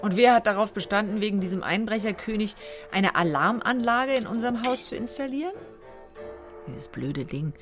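Loud music runs behind a harried, caring mum played by a woman. Her mid-pitched female voice moves up and down expressively in children's radio-play style. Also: moderate pace, natural voice. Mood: exasperated. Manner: expressive, motherly. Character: exasperated but caring mother of young children.

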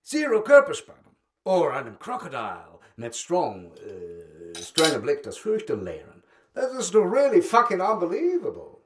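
Excitable, swooping adventurer. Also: male voice, on the high side. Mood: brash, incredulous. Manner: jumbles English and German, haltingly emphatic. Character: excitable, swaggering Australian adventurer trainer.

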